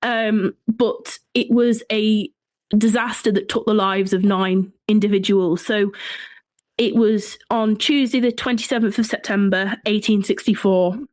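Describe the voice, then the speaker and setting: A woman with a Shropshire accent talks as a guest, telling a piece of history in a conversational way. Her pitch sits in the middle for a woman. The sound is dry, with very little room in it. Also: steady tone, measured pace, darkish tone, no echo. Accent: Shropshire English. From Shropshire, England. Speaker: podcast guest, folklore and history writer.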